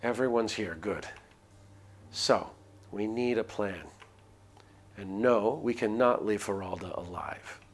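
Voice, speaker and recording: A man's voice: fairly low pitch, slow. A man voicing a cold, commanding village woman in a story game, matter-of-fact and urgent.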